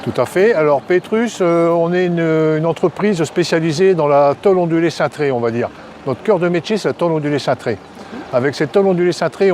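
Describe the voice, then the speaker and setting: Higher-pitched man explaining his business. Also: a little mellow, a little hiss or hum. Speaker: company director being interviewed.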